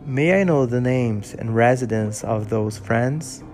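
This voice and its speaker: A shunned and desperate creature read aloud by a man, imploring in a fervent voice. The is clear, and the pitch sits in the middle range. Soft music runs faintly behind the storybook reading. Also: measured pace, natural voice. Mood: grateful. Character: lonely shunned creature, male, pleading and desperate.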